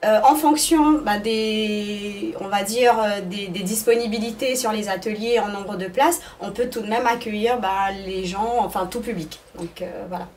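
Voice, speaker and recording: A woman's voice: medium pitch, normal speed, few pauses, a little mellow, far from the mic, slight background noise. A woman answering interview questions as the director of an arts school, explaining things phrase by phrase with hesitations.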